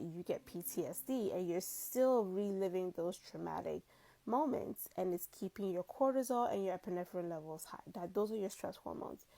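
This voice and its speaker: A woman in her forties from Cameroon, a physician giving casual advice to a viewer in a relaxed, chatty way with plenty of asides, recorded on a wired earphone mic in a small room. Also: lowish voice, creaky. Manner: relaxed and conversational, with frequent likes and asides. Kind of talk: casual advice video answering a viewer question.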